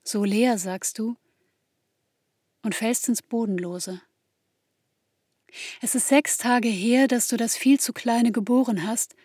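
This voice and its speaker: A woman acting as an intimate, grieving narrator in a literary radio play, speaking in a slow, measured way to a bereaved mother. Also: on the high side, darkish tone, slightly rough, no room sound, clean studio sound. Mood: reflective, aching. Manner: slow, measured, intimate second-person delivery.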